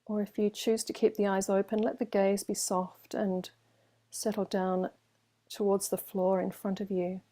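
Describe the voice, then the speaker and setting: A woman meditation teacher leading a guided meditation in a gentle, soothing way, with long pauses between phrases. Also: medium pitch, flat delivery.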